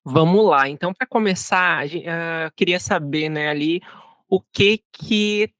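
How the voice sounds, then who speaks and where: A woman's voice: on the low side, a little slow, fairly bright tone, dry recording. A woman hosting a podcast, asking casual, hesitant questions. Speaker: podcast host.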